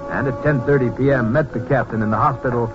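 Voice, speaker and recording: An actor as a brisk, formal male narrator of a scripted fifties radio crime drama. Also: medium pitch, fairly even intonation, lightly acted. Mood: brisk, serious. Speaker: announcer and narrator. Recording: muffled 1950s radio, loud music behind.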